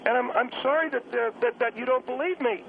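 Man acting a high-voiced, earnest young phone caller. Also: creaky. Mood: hurt, polite. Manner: deferential, halting, solemn. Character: earnest, polite, naive young male radio caller. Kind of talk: comic radio phone-in. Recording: tinny, noisy phone line.

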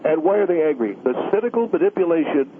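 A man's voice: on the high side, expressive, fast talker, close to an everyday voice. A man voicing a smug, pompous, self-righteous older male pundit who is a guest on a call-in radio show, heard over a telephone line. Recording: tinny phone line with faint hiss.

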